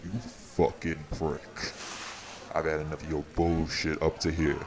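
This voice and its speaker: A man playing a stern, hot-tempered leader among vampires in an audio drama, gruff, profane and commanding, with music behind him. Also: rumbling low, monotone, a little slow, background noise. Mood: menacing anger. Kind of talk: audio roleplay drama acting.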